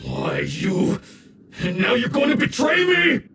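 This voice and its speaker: Creaky, gloating villain. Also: male voice, extremely high pitch, huge pitch swings, fairly bright tone, reverberant, raised voice, far from the mic, a little hiss or hum, exaggerated voice. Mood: outraged. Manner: sneering, theatrical, drawn-out taunts. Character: smug, treacherous, gloating male villain. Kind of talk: animated game villain acting.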